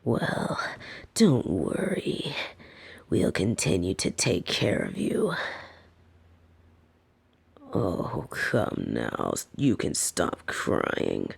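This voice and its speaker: A woman plays a female lamia captor in an intimate fantasy audio roleplay. She speaks slowly and breathily, often slipping into whispers and creaky tones. Her pitch moves freely as she patronizes and teases with soft, possessive dominance. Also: wildly swooping pitch, full character voice. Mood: soothing, possessive. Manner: slow, teasing, patronizing.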